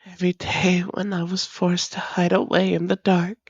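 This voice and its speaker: A woman's voice: fairly low pitch, monotone, normal speed, husky, dry recording, muffled recording. A woman voicing a doting, long-lost vampire lover in an intimate audio roleplay, speaking tenderly in formal, old-fashioned phrases and often slipping toward a whisper. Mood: yearning, devoted. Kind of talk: intimate romantic audio roleplay, slow and breathy.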